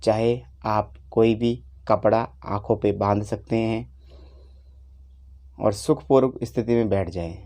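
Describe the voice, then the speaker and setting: A man leading a guided meditation, giving unhurried instructions in short phrases with long pauses. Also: lowish voice, steady tone, mellow. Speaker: meditation teacher.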